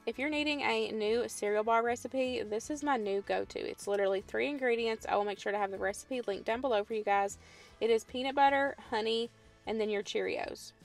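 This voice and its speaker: Chatty Southern woman with gravelly fry. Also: on the high side, brisk, faint music behind. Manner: chatty, friendly how-to explaining with "y'all" and "um". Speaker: home cooking vlogger. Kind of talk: casual cooking voice-over. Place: home kitchen.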